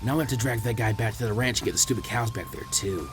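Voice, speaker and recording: A man's voice: medium pitch, fast talker, soft background music, voice pushed down, close to an everyday voice. A man voicing a lazy, bored, sardonic teenage ninja boy who grumbles. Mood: weary annoyance.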